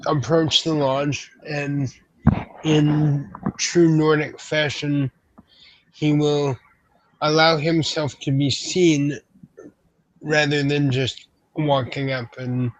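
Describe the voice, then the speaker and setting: A man describes his character's actions in a tabletop roleplaying game. His delivery is very slow and deliberate, halting as he improvises, at a medium pitch. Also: no room sound, poor audio.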